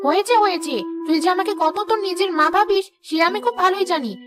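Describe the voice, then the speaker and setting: A woman voicing a harsh middle-aged stepmother in a cartoon, sharp and sarcastic. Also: very high voice, fast talker, music in the background. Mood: scolding. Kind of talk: cartoon dubbing.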